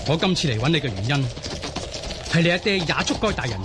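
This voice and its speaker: A man plays an earnest, deferential Mongol clansman in a Cantonese radio drama. His slightly high voice moves with lively intonation, and noise is clearly audible behind it. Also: quick pace, close to an everyday voice. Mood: grave. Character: deferential adult Mongol clansman and loyal envoy. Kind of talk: Cantonese audio drama acting.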